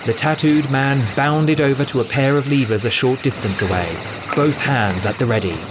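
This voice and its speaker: A man's voice: mid-range voice, steady tone, normal speed, noisy background. A man reading scripted fiction as a dramatic audiobook narrator. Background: music.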